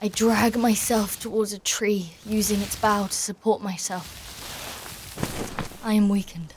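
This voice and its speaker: A woman playing a fierce, devout warrior knight in a dark fantasy audio drama, narrating with solemn, deliberate intensity. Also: mid-range voice, fairly even intonation, a little hiss or hum, lightly acted. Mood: weary, subdued. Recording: voice over loud dramatic music.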